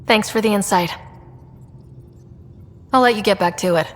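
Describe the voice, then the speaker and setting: A woman's voice: mid-range voice, normal speed, big-room sound, natural voice. A woman voicing a level-headed, friendly young space expedition leader in a video game, casual and inquisitive. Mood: friendly, concerned. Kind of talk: video game character dialogue.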